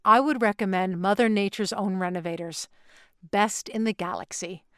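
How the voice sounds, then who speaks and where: Actress as smooth, clear-voiced alien saleswoman. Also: mid-range voice, moderate pace, no room sound, clean studio sound, lightly acted. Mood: smooth sales pitch. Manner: salesy, unflappable delivery. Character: smooth, businesslike alien female real estate agent. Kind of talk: audio play acting.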